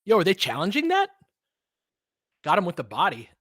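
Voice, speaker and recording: A man's voice: high voice, wildly swooping pitch, moderate pace, fairly bright tone, dry recording, studio quality. A man hosting an informal live play-by-play, commentating and analysing in a conversational, fan-like way as he chats with listeners. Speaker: live audio play-by-play host.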